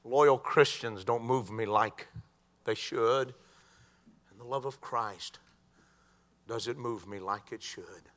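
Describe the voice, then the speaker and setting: A man preaching a sermon in a church, swinging from quiet talk to shouted crescendos. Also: mid-range voice, slow, long pauses.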